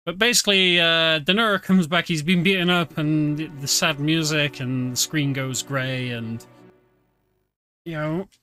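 A man's voice: a little high, unhurried, soft background music. A man with a British accent gives casual, chatty commentary on a game as he plays. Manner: relaxed, chatty, explaining as he plays. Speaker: streamer.

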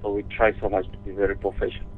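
A man's voice: lowish voice, little pitch movement, a little hiss or hum. Guy taking a business call on a tinny phone, polite, stumbles and repeats himself. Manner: polite, halting, repetitive. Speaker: music lesson company owner. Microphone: telephone. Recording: muffled, narrow telephone line.

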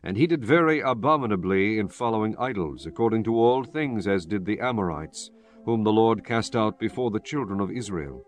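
A man's voice: on the low side, expressive, brisk, soft background music. A man narrating scripture in a solemn, formal reading style for a dramatized Bible.

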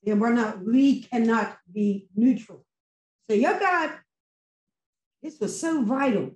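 A woman's voice: fairly high pitch, lively intonation, slow, a little mellow. Fervent woman preaching on video call. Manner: fervent, preacherly, emphatic rhetorical questions. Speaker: church member giving sermon response. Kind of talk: impassioned post-sermon testimony. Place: home room with virtual background. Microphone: laptop webcam on video call. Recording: echoey video call audio.

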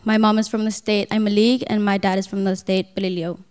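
A young woman in her teens speaks with a smooth, clear voice. Her introduction stays very even in pitch. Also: a little fast. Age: teens, high school senior. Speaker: student speaker. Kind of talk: prepared self-introduction.

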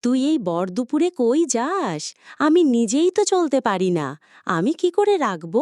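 A woman's voice: a little high, sing-song, measured pace, a little mellow, dry recording, studio quality. A woman voicing a frail, pious old village grandmother with aching legs in a cartoon. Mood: fond, grumbling.

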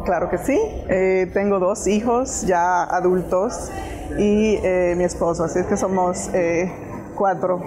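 A woman's voice: lowish voice, fairly even intonation, takes it slowly, a crowd in the background. A middle-aged woman from Honduras with a Honduran accent, answering as an interview guest on a lapel mic. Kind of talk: conversational interview. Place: indoor studio set in a market hall. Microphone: lapel microphone.

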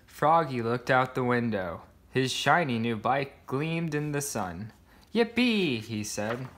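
A man's voice: mid-range voice, slow, fairly bright tone, slight background noise. A man reading a children's picture book aloud, voicing the sound words expressively. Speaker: storybook reader.

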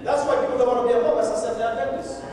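High-voiced man preaching. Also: very fast, noisy background. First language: Haitian Creole. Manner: animated, conversational preaching with call-outs to the congregation. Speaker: guest preacher. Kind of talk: sermon. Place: large church sanctuary. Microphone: pulpit mic and room pickup, walking the floor. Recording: very echoey church from a distance.

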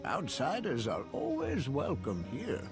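An actor playing a friendly, naive and curious alien villager in a video game, with a politely eager, singsong delivery. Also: male voice, medium pitch, wildly swooping pitch, a little slow, over music. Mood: welcoming.

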